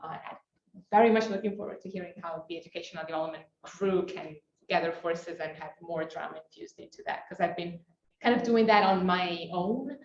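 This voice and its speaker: A woman's voice: fairly low pitch, brisk, darkish tone. A woman from Italy speaks up from the audience at a conference, earnest and friendly, with a few ums. Her voice carries room echo and sounds a bit dull. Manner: earnest, friendly, some fillers. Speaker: conference participant. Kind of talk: unscripted audience comment.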